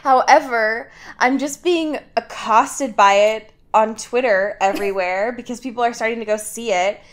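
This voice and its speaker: A woman's voice: on the high side, normal speed. A young woman co-hosting a podcast in casual comedic chat, giggly, swearing freely and reacting with exaggeration. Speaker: podcast co-host.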